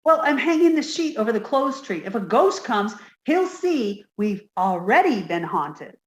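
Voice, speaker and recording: A woman's voice: a little high, big pitch swings, unhurried, roomy sound, a little hiss or hum. A woman playing a naive, dim young secretary with an earnest, literal manner in a 1950s-style radio comedy.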